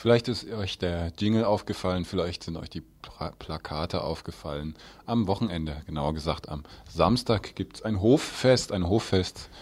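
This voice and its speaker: A man's voice: low-pitched, moderate pace, few pauses, darkish tone. A man hosting live radio in a studio, speaking informally as he introduces a guest. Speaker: radio host.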